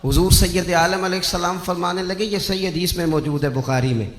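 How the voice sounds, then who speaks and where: High-pitched, echoing Punjabi-accented male preacher. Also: fairly even intonation, brisk. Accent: Pakistani Punjabi. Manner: impassioned, rhetorical repetition, swinging from hushed asides to loud cries. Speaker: Islamic preacher. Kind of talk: devotional sermon with storytelling and recited verse. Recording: echoing amplified voice.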